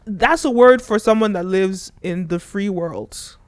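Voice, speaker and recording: A man hosting a casual conversation, animated and quick to jump in and laugh. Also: high voice, a crowd in the background. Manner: animated, talks over the other speaker, laughs often. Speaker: host. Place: Washington, D.C.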